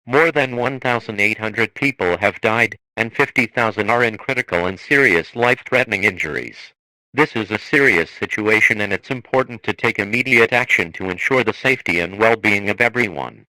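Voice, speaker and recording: A man reading a scripted emergency broadcast in a formal, measured manner. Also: fairly low pitch, sharp tone, no echo, low-quality recording. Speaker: emergency alert announcer.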